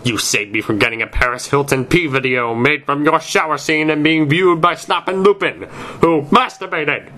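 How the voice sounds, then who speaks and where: A man's voice: fairly high pitch, normal speed, pushed and bright, voice pushed up. A man voicing an angry, melodramatic, foul-mouthed goth teenage girl in an amateur story reading, snapping indignantly. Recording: noisy amateur recording.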